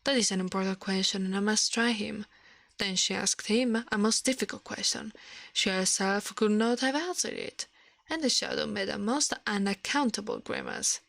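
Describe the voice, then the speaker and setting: A woman reading a fairy tale aloud in a soft ASMR style, careful and a little halting, close to the microphone. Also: mid-range voice, creaky, dry recording.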